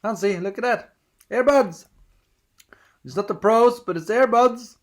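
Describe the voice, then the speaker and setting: Norwegian guy rambling through an unboxing at home, stop-start, kinda high voice. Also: a little slow. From Norway. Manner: rambling and hesitant, many restarts, reads screen prompts aloud. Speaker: vlogger. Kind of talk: casual unboxing chat.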